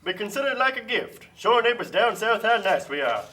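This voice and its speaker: A man voicing a pompous puppet-show pitchman for free trade, lecturing smugly like a salesman. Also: big pitch swings, fairly quick, recorded from a distance, very noisy. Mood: smug, promoting. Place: community TV studio.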